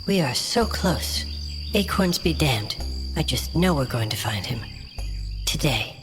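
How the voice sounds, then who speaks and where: A woman's voice: extremely low pitch, sing-song, measured pace, exaggerated voice. A woman playing an earnest, determined half-elf adventurer in a fantasy audio drama. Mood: hopeful resolve. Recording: long reverb with music behind.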